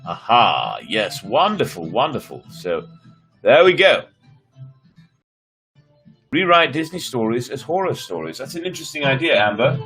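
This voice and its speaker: A man's voice: medium pitch, very animated, measured pace, long pauses, fairly bright tone. A man reading stories aloud on a casual livestream, theatrical, with playful asides to his viewers. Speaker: storyteller streamer. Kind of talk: casual livestream storytelling, dramatic read-aloud. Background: background music.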